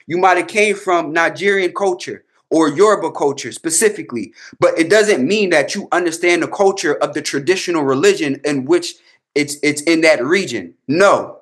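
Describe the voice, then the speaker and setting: A high-voiced American man hosting a reaction rant. He talks in a casual, slangy style and drives his points home with combative emphasis and repetition. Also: a bit bright. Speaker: host, babalawo commentator.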